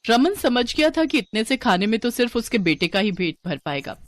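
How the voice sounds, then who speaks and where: Animated woman storyteller, widely varied pitch. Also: brisk. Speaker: narrator. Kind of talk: scripted storytelling narration.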